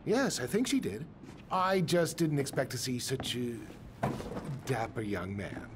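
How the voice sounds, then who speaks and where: An actor playing a courteous, chatty, slightly awkward middle-aged shopkeeper in a video game. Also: male voice, medium pitch, animated, measured pace, background noise, close to an everyday voice. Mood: friendly, chatty. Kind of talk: naturalistic video game acting.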